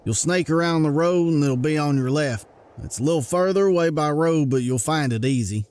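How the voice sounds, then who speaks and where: A man's voice: on the high side, a little mellow, natural voice. A man playing a helpful, plain country farmhand with a Southern American accent in a motion comic. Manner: halting, folksy. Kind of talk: dramatized motion comic dialogue.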